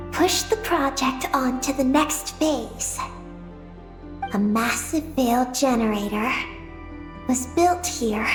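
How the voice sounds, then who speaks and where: A woman playing a small, calm, knowing girl in a video game, speaking in a measured, halting, matter-of-fact way. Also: high-pitched, reverberant.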